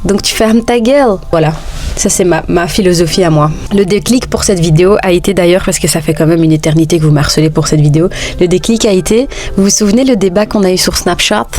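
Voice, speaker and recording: Woman, 30s, vlogger, sassy joking chat, lower voice, mixes in Dutch phrases. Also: fast talker, a little mellow. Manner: playful, sassy, jokes often; switches between French and Dutch. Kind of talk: casual chatty vlog.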